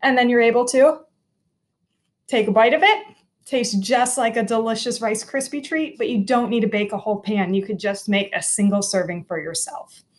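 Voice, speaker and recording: A woman's voice: fairly high pitch, moderate pace. A woman in her thirties, a teacher, giving a cheerful step-by-step cooking demo for children from her home kitchen, picked up by a laptop camera across the counter. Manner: cheerful, clear, instructive. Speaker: teacher hosting a kids' cooking video.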